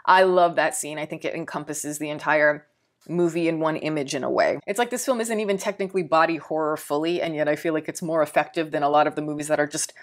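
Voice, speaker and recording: A woman's voice: low voice, quick pace. A woman with a Texan accent reviewing a film in a casual, rambling way, thinking aloud with fillers and repeated words. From Texas.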